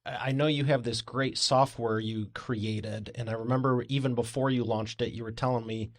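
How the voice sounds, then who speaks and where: A man's voice: medium pitch, steady tone, normal speed, dry recording, clean studio sound. A man hosting a podcast interview, asking friendly, encouraging questions. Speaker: podcast host.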